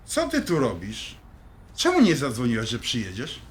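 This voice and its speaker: Blunt father with animated voice. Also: medium pitch, moderate pace, slight background noise. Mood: gruff, displeased. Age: middle-aged. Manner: blunt, commanding, dismissive. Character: stern, blunt middle-aged father of grown daughters. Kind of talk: audio drama acting.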